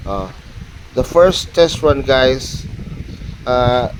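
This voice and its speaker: A Filipino man giving a casual, halting vlog-style product show-and-tell, mixing Tagalog and English. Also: mid-range voice, expressive, slight background noise, rough recording. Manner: halting, code-switching between Tagalog and English. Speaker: motovlogger.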